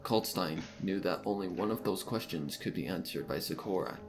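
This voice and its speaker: A man narrating a dark mystery story as a scripted fan fiction reading. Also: low voice, normal speed. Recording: guitar music behind the voice.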